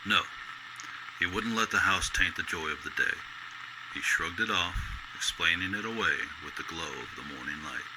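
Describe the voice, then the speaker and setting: Deep-voiced male storyteller over noisy ambience. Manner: measured, literary storytelling delivery. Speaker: narrator. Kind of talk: scripted storybook narration in golden-age radio drama style.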